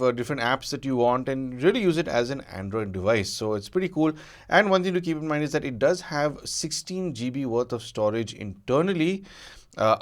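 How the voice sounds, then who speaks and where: A man giving a conversational product review as a tech reviewer, measured and often pausing or repeating words as he goes. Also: mid-range voice.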